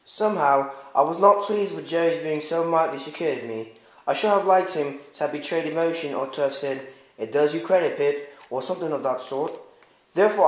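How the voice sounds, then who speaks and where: A man's voice: on the high side, lightly acted. A man playing a proud, condescending young man, reading first-person literary narration and dialogue formally in an amateur puppet show. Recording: echoey room.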